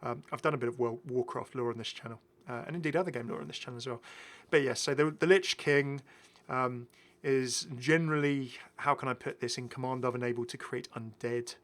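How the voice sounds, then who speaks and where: A man's voice: medium pitch, fairly even intonation, a little fast, a bit bright. A man hosting a gaming video with casual, enthusiastic and chatty commentary. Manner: chatty, prone to chuckling asides.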